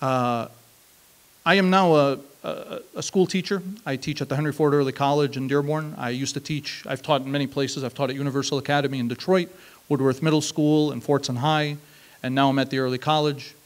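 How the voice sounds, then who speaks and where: A man in his thirties telling a personal story at an open mic on a small stage, reflective and measured, leaning on emphatic repeated phrases, speaking into a handheld mic. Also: mid-range voice, steady tone. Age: 30s. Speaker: spoken word performer. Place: small stage, seated on a stool.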